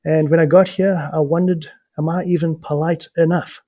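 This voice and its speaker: A man's voice: fairly high pitch, little pitch movement, normal speed, warm tone, no room sound. A man with a mixed English and South African accent, a real estate agent answering interview questions in a friendly way with fillers like uh. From England, raised in South Africa. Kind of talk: interview conversation.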